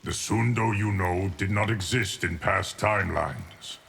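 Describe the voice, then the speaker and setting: A grave immortal guardian in a fighting game. His deep voice creaks as he delivers measured, formal lines. Clear noise sits behind him. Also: fairly bright tone, full character voice. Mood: solemn, assured. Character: grave, steadfast male guardian of time. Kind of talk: scripted fighting game dialogue.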